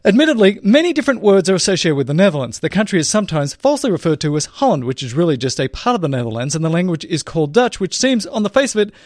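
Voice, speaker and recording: A man's voice: high voice, big pitch swings, rapid-fire, hardly any pauses, dry recording, clean studio sound. A man co-hosting a podcast in casual chat, reading listener mail aloud and laughing often. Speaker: podcast co-host.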